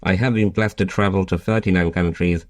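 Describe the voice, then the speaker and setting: Casting: podcast guest around fifty, very low voice, polished and formal, dry recording. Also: male voice, fairly even intonation, brisk, no room sound. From Bangladesh, raised in the United Kingdom. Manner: polished, formal, reads like a prepared statement. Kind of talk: prepared self-introduction, interview answers.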